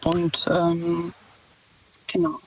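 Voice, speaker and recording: A woman's voice: lowish voice, monotone, drawn-out words, slight background noise. A woman with a Czech accent calling into a radio show, nervous and hesitant with many fillers. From Czech Republic. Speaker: caller. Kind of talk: phone call-in to radio. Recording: dull distant phone line.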